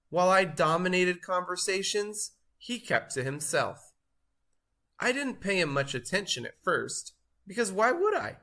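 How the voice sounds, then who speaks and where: A man voicing a boastful, show-off cheese in a theatrical, grandiose storybook read-aloud with drawn-out emphasis. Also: on the high side, bright, forceful tone, heavily acted. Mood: dramatic storytelling.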